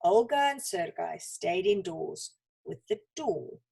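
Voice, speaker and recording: A woman reads a children's story expressively, with dramatic pauses and deliberate emphasis. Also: on the low side, animated, vocal fry, warm tone, breathy edge.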